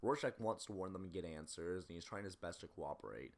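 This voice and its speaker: Deep-voiced, quick-talking male video essayist. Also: animated, loud music behind the voice. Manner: critical, opinionated. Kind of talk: scripted film commentary.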